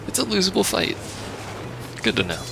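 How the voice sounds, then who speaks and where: A man's voice: high voice, huge pitch swings, normal speed, gravelly. A man giving casual, sarcastic commentary as he plays a video game. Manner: sarcastic, wry. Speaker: let's play host. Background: game music.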